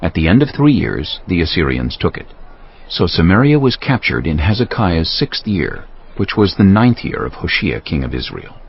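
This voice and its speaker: A man's voice: low-pitched, big pitch swings, moderate pace, slightly rough. A man narrating a dramatized scripture audiobook in a scripted, storytelling delivery. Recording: muffled audio with faint music.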